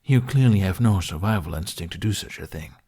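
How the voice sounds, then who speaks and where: A man's voice: low voice, lively intonation, fairly quick, dark tone, husky, exaggerated voice. A man acts out a defiant male dragon from a fantasy story. He is proud and sarcastic, snapping out clipped commands and retorts in a barking manner. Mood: defiant, scornful.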